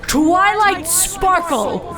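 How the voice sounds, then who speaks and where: A woman's voice: very high-pitched, lively intonation, a crowd in the background, voice pushed up, exaggerated voice. A woman voicing a regal, stern but caring older-sister pony princess, speaking formally in a comedic story. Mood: outraged. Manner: measured, formal, commanding. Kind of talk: comedic fan fiction voice acting.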